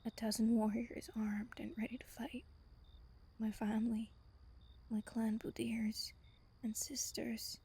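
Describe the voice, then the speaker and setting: A woman playing a gentle, wistful female vampire, telling a bedtime story tenderly and intimately in a breathy, whispery, soft-spoken roleplay style. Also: a little high, flat delivery, slow, pauses often, exaggerated voice. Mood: regretful, hushed. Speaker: voice actor in roleplay audio.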